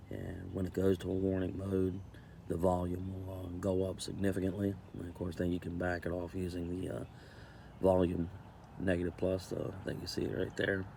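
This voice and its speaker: Deep male voice, dark and mellow, casual gear review, halting plain-spoken delivery, noisy background. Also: creaky. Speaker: camping vlogger reviewing gear. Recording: steady background noise.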